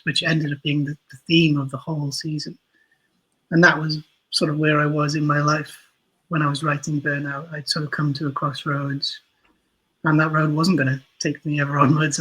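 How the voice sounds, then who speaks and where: A man's voice: fairly high pitch, fairly bright tone, poor audio. A man chatting casually as an interview guest, explaining things in a thoughtful, self-deprecating way. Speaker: guest, audio drama writer and producer.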